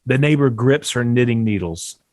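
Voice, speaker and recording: A man's voice: mid-range voice, expressive, moderate pace, a little mellow, dry recording, clean studio sound. A man reading stage directions as scripted narration at a table read.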